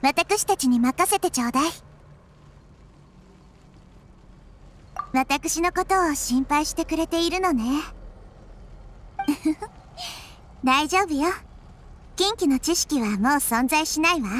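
A woman voicing a gentle, wise little girl nature deity in an anime game, speaking calmly and thoughtfully. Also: high-pitched, slight background noise. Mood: gentle, reassuring. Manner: calm, thoughtful, softly explanatory. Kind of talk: Japanese anime game voice acting.

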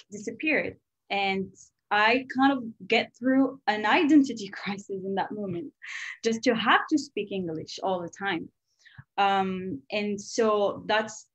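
A soft-spoken woman with a French accent tells a personal story over a video call. She sounds reflective and candid, and French is her first language. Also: medium pitch, highs cut off. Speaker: former student.

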